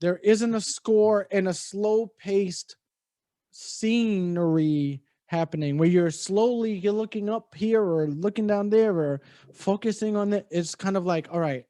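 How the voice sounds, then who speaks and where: A man's voice: high-pitched, measured pace, dark tone, no room sound, studio quality. A man cohosting a casual movie review podcast, talking bluntly with plenty of swearing and restarts. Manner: blunt, profane, rambling with restarts.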